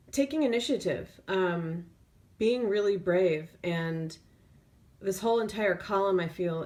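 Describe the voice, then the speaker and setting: A woman tarot reader speaking off the cuff in an intuitive reading, feeling her way through phrases with drawn-out pauses. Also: on the low side, darkish tone.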